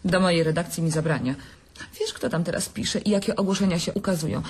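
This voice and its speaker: A woman's voice: fairly low pitch, fast talker, husky. Woman playing a chatty young mother. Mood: complaining. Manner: chatty, complaining. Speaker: Karol's wife. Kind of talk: radio drama acting.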